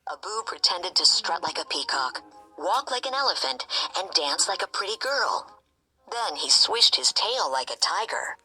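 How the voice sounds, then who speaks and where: A woman narrating a scripted children's story in an expressive, animated way. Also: fairly low pitch, normal speed, slightly rough, soft background music, poor audio. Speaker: storybook reader.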